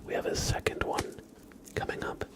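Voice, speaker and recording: A man hosting an ASMR stream, speaking in hushed, drawn-out phrases as he tells slow, improvised stories. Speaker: ASMR livestream host.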